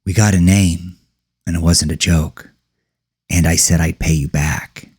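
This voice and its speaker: A man's voice: low voice, steady tone, a little slow, darkish tone, slightly rough. A man voicing a tough, determined woman police detective with a terse, demanding manner in an audiobook. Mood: firm.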